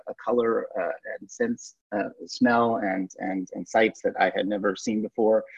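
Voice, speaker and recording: Man, from Western New York, thirties, husky, thoughtful, hesitant, muffled lo-fi call audio. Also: fairly low pitch, darkish tone, dry recording. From Western New York, USA. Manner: thoughtful, hesitant with many ums and restarts. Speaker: guest, startup CEO. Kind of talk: relaxed interview answers. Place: remote call from home or office.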